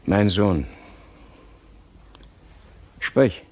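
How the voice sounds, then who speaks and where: A man's voice: lowish voice, expressive, drawn-out words, warm tone, full character voice. An actor playing a stern, pious, authoritarian king and father in a classical radio drama, with a solemn, declamatory and commanding manner. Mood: imperious.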